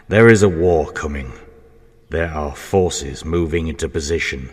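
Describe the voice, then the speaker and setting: A man narrating a dramatic scripted story in a measured, portentous manner. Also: very deep voice, breathy edge. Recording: voice over music.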